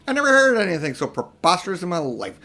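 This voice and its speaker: A man's voice: high-pitched, sing-song, fast talker, sharp tone, pitched above the performer's own voice, heavily acted. A man voicing a pompous, vain, selfish elderly magician uncle in a storybook reading, with a grandiose, self-important manner. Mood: indignant. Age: older. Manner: grandiose, self-important, indignant when challenged.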